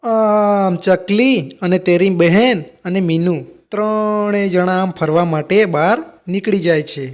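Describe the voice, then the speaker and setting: Very high-pitched male storyteller in reverb. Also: unhurried. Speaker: story narrator. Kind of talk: scripted children's story narration.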